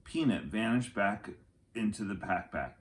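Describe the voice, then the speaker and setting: A man reading a children's chapter book aloud as an expressive storyteller, with deliberate, dramatic phrasing. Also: lowish voice.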